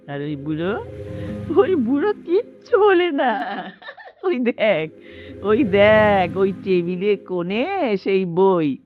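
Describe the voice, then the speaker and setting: A frail, forgetful old mother in a radio play. Her speech is slow and halting but very animated in pitch, repeating words with stubborn feeling. Soft music sits faintly behind her. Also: dull audio. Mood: tearful, wistful. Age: older. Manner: halting, emotional, insistent, repeats phrases. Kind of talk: Bengali radio drama acting.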